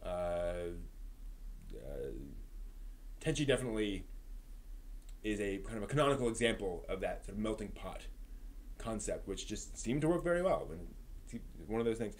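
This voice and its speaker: A man's voice: lowish voice, sing-song, moderate pace, long pauses. A man hosting a live stream, talking through anime reviews and discussion in a conversational way.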